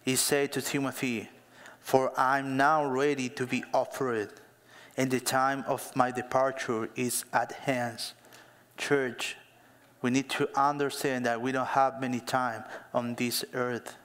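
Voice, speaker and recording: Slow-preaching pastor in a reverberant hall. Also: male voice, medium pitch, flat delivery. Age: 30s. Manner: slow and emphatic, building to louder exhortation. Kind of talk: sermon. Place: church hall.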